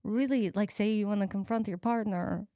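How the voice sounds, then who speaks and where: A woman's voice: medium pitch, mellow, no echo, studio quality. A woman co-hosting a podcast in a casual chat, joking and going off on tangents. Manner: playful, joking, quick tangents. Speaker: podcast co-host.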